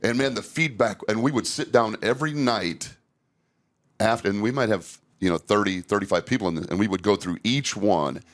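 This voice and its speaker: Older male, low voice, candid and unpolished, chatting casually as a podcast host and trainer. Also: brisk.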